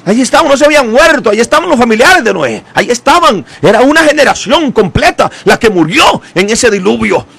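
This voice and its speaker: A man with a very high, strained voice preaches. His delivery is gravelly and impassioned, full of repetition and sudden shouted peaks. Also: very animated, a little fast, hardly any pauses, no room sound. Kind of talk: fiery evangelical sermon.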